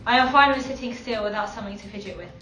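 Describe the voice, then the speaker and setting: A woman in her twenties from London talks quickly through open interview answers. The mic sits far off in an echoey space. Music plays behind her. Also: mid-range voice, sharp tone. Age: 20s.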